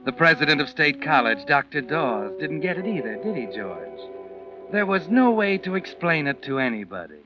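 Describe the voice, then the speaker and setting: An actor playing an all-knowing, taunting mystery narrator, dramatic and insinuating, in 1940s radio style. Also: male voice, a little high, big pitch swings, normal speed. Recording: dull 1940s radio recording. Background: music.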